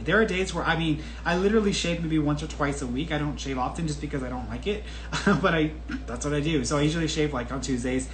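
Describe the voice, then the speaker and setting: A man in his late thirties talks casually and candidly to his audience, restarting sentences as he goes. His voice sits a touch high for a man. The room is echoey, with a faint hiss of noise behind him. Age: 30s. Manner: chatty and candid, with frequent restarts and laughs. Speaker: podcast host answering viewer questions. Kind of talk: casual solo talk.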